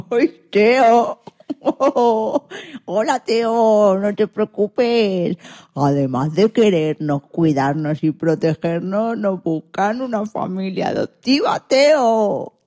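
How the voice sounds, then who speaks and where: An unhurried, mellow voice plays a kindly dog comforting a friend in a children's radio play acted by a woman. The delivery is gentle and drawn-out, full of reassurance. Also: mid-range voice, lively intonation. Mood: reassuring.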